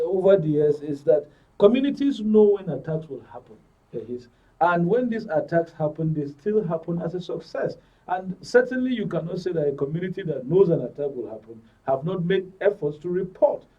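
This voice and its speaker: A man's voice: high voice, lively intonation, normal speed, dark tone. A man with a Nigerian accent giving explanatory policy commentary as a panel guest, often repeating words. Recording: thin phone-like sound, distant microphone.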